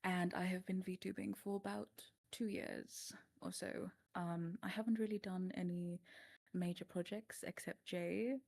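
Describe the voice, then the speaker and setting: South african woman streamer, kinda low voice w lots of fry, chill intro. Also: fairly even intonation, unhurried, a little mellow. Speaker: ASMR creator and streamer. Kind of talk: casual self-introduction.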